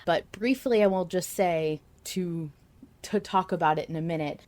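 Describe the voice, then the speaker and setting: A woman's voice: fairly low pitch, moderate pace, a little mellow. A woman hosting a casual fan discussion podcast, speaking with enthusiasm as she comments and reads out remarks. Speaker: podcast host.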